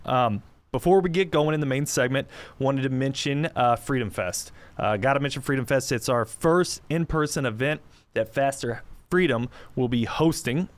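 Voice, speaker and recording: A man hosting a podcast interview, upbeat and joking, with plenty of fillers and restarts. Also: medium pitch. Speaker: podcast host. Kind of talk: casual interview chat.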